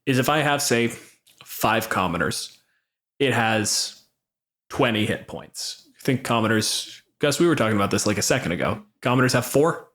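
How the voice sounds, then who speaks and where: A man's voice: mid-range voice, expressive, moderate pace, a bit bright. A man in a casual, opinionated tabletop gaming chat, explaining things emphatically and swearing freely. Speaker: podcast panelist and game master.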